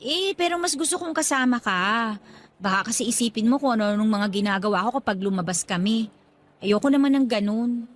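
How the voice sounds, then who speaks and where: High-voiced coy young Filipina girlfriend, acted by a woman. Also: lively intonation, fairly quick, close to an everyday voice. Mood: coy, affectionate. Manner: coy, teasing. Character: playful, clingy, jealous young girlfriend. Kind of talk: radio drama acting, conversational Taglish.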